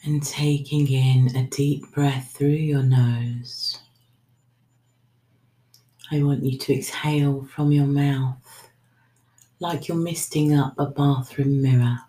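Very low, slow-speaking woman guiding breathwork. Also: fairly even intonation, mellow. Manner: soothing, unhurried, trailing into whispers. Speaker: breathwork meditation guide. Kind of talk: guided breathing meditation, spoken instructions. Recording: roomy, echoing sound.

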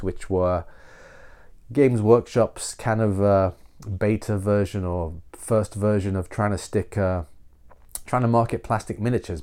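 A man's voice: lowish voice, dark tone. A middle-aged man hosting a solo podcast, nasal from a cold, rambling and self-deprecating with frequent restarts. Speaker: podcast host.

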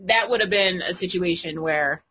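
A woman's voice: lowish voice, normal speed, pushed and bright, slight background noise. A woman co-hosting a podcast, chatting in a playful way and laughing a lot. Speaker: podcast co-host. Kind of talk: casual chat.

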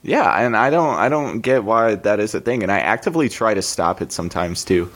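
A man whose hoarse voice sounds permanently sick, co-hosting a podcast and teasing the others deadpan. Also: fairly low pitch, a little fast. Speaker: podcast co-host. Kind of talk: casual chat.

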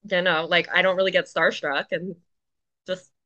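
Bright-voiced woman telling a story. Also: lowish voice, moderate pace, lo-fi sound. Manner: animated, chatty, many fillers. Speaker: guest, Paralympic rower. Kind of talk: casual storytelling chat.

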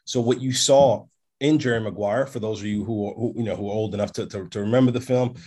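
A man, a podcast guest telling long stories in a relaxed interview. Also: on the low side, fast talker. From North America. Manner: expansive storyteller, checks in with "right?". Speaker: podcast guest, sports agent. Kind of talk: casual interview chat.

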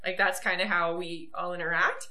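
Bright-voiced chatty woman. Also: fairly low pitch, steady tone, normal speed. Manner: chatty, swearing, acts out quoted voices. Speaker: podcast co-host. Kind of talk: casual chat reviewing a TV episode.

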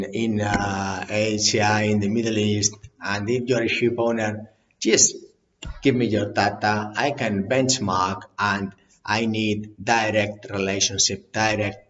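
A man who is not a native English speaker, a company CEO talking straight to the camera in plain, halting phrases and repeating words. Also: fairly low pitch, lo-fi sound. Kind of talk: direct-to-camera business talk.

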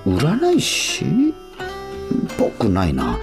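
Young busker dude, low voice but swingy, cynical blunt narrating, guitar behind. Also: male voice, wildly swooping pitch, very slow, heavily acted. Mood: puzzled, wry. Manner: blunt, muttering asides in casual slang. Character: young male street musician, rebellious, cynical dreamer. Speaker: narrator and lead character. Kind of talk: first-person radio drama monologue. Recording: acoustic guitar music behind.

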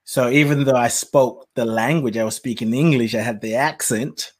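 A man's voice: medium pitch, fairly even intonation, moderate pace. A man who grew up in Nigeria tells his life story as a guest, reflective and laughing often. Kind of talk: personal storytelling in conversation.

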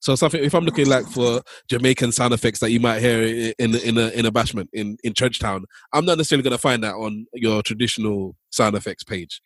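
A man's voice: mid-range voice, fast talker, few pauses, no room sound. A man hosting a podcast, interviewing in a casual, conversational style. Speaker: podcast host.

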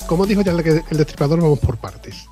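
A man's voice: on the high side, expressive, brisk, mellow, soft background music. A man hosting an interview, asking curious questions and explaining things in detail. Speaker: interview host. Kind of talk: podcast interview. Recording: slightly dull lo-fi sound.

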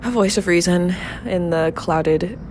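A woman's voice: lowish voice, measured pace, background noise. A young woman in her twenties hosting a casual solo podcast, rambling with many fillers and laughs, a bit nasal and congested from a cold. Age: 20s. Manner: rambling, filler-heavy, frequent laughs and swearing. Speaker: podcast host. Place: outdoor apartment balcony.

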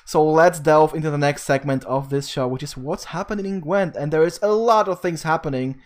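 A man hosting a gaming podcast, moving briskly into segments and posing questions to guests. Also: on the high side. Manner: brisk, segment-introducing host. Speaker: podcast host.

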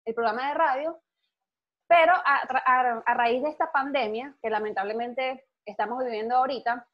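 A young Venezuelan woman with a Venezuelan accent chats as a guest, outgoing and animated as she tells her stories. Her voice sits a little high. The sound is dull and lo-fi. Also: normal speed. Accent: Venezuelan Spanish. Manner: outgoing, animated storytelling, laughs easily. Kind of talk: casual interview chat.

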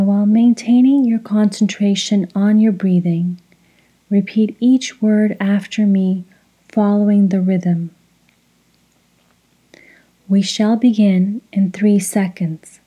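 A woman leading a guided meditation in a soft, breathy voice, giving measured instructions in short phrases with pauses. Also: mid-range voice, fairly even intonation, rough recording. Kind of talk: slow guided meditation with recitation.

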